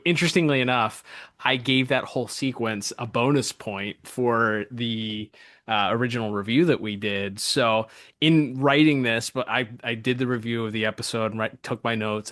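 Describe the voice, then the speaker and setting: A man's voice: lowish voice, a bit bright, no room sound. A man co-hosting a podcast in a casual, enthusiastic review chat, speaking informally. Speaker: podcast co-host.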